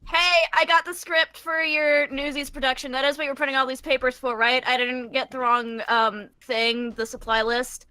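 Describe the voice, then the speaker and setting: A woman's voice: high-pitched, level tone, normal speed, hardly any pauses, pushed and bright, smooth, no echo. A woman improvising comedic dub banter as a fandub performer, rambling in mock exasperation. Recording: faintly noisy group stream.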